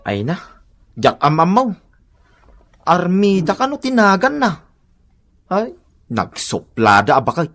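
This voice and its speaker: A young Ilocano fellow from a radio comedy. His voice is on the high side. He is nosy and joking, a friend who loves to rib. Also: huge pitch swings, unhurried, long pauses, a bit bright, faint music behind, exaggerated voice. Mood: gossiping. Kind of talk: comedic radio drama acting.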